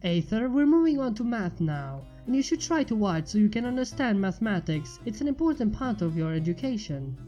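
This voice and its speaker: A woman voicing a kind, encouraging pony schoolteacher with a gentle, instructive manner in a story reading. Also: medium pitch, sing-song, brisk, few pauses, lo-fi sound, soft background music, lightly acted.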